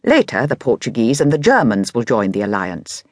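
A woman's voice: a bass voice, sing-song, normal speed, dry recording, clean studio sound. A woman with an English accent narrating a scripted history documentary in an explanatory way with lightly conversational asides.

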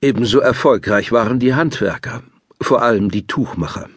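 A man narrating a scripted children's audiobook in a measured, explanatory way. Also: mid-range voice, husky, no room sound, clean studio sound.

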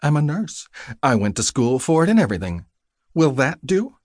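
Female nurse played by a male narrator: pitched up, husky, caring yet no-nonsense, gently scolding, for an audiobook. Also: sing-song, moderate pace, no room sound, studio quality. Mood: chiding, teasing.

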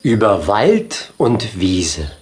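A storybook narrator reading a children's tale in a deliberate, expressive way. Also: low-pitched. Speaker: audiobook narrator.

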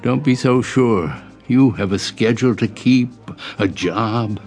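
A man's voice: mid-range voice, measured pace, darkish tone, natural voice. An actor playing a genial, courtly old man in an audio drama, with a folksy, old-fashioned and wistful manner. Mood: wistful, gentle. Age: older. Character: genial, courtly, idle old gentleman regular. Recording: faint music behind.

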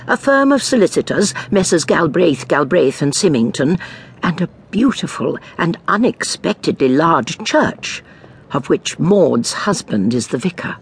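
Shrewd elderly English lady sleuth, low-voiced. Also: very animated, breathy edge, full character voice. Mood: wry, measured. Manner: measured, precise, gently probing. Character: shrewd elderly amateur sleuth Jane, calmly inquisitive narrator. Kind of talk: dramatised audiobook acting and narration.

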